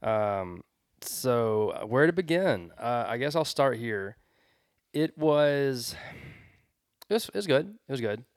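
A young man on a podcast, a bit husky today, joking and chatty as he reviews a show. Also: medium pitch, slow pace, no echo, clean studio sound. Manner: joking, animated storyteller. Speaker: podcast co-host. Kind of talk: casual podcast chat.

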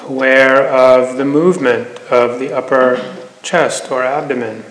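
A man teaching a guided meditation in an unhurried way, with long pauses between phrases. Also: medium pitch, steady tone. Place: meditation hall. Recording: echoey hall, noticeable reverb, faint noise.

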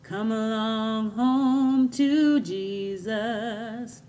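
A woman singing a slow gospel spiritual as part of an oratorio. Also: on the high side, dark tone, faint music behind. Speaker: gospel singer.

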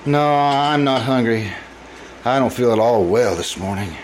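A man's voice: mid-range voice, a little slow, slight background noise, lightly acted. A man playing a haunted, anxious husband in old-time radio style, with a hesitant, stammering delivery. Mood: weary, uneasy. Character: anxious, haunted, haggard married man fearing himself.

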